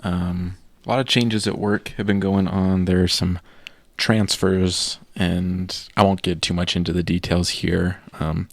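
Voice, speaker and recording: A young man co-hosting a casual gaming podcast, sounding tired and relaxed, hesitating with lots of fillers. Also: deep voice, a little slow, gravelly, hardly any pauses, dry recording. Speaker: podcast co-host. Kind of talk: casual chat. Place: small room with green screen.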